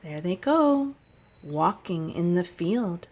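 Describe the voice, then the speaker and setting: A woman who teaches young children, chatting and reading aloud gently in simple, unhurried phrases with little explanations. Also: lowish voice, very animated. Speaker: childcare educator leading story and song time for toddlers.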